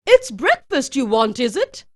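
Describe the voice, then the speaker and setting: A woman voicing a great big tall servant woman in a storybook tale, kindly but fussing, with a brisk, exclamatory way of warning. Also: sits high, sing-song, a bit bright, exaggerated voice. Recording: music behind the voice.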